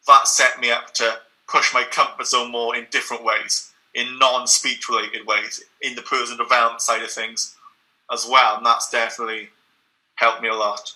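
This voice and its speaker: A young Englishman talks through his experience in an earnest, reflective interview voice. His tone is very bright and sharp, pitched right in the middle for a man. Now and then he doubles a word as he restarts. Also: rough recording. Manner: steady, earnest, with occasional repeated words and restarts. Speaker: interview guest.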